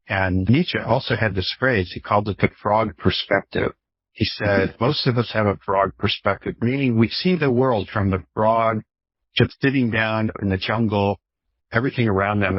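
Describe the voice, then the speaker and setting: A man in his fifties speaks as an expert guest, in a lowish, somewhat rough voice. He explains conversationally, working through examples and rhetorical questions. The sound is dry and a little dull, like lo-fi call audio. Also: no echo. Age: 50s. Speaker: professor, author and guest expert. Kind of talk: explanatory interview talk with illustrative anecdotes.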